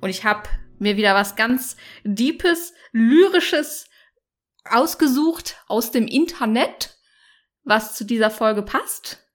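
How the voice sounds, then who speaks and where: A woman's voice: fairly high pitch, lively intonation, takes it slowly, soft background music. A woman hosting a book podcast in casual chat, playful and joking. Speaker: podcast host.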